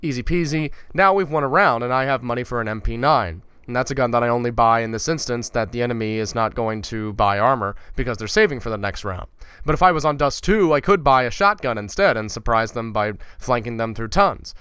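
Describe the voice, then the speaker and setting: A man's voice: medium pitch, animated, fairly quick, a bit bright, clean tone, dry recording. A man giving casual, ranting commentary as a gaming YouTuber, blunt and profane, with stumbling repeats as he talks.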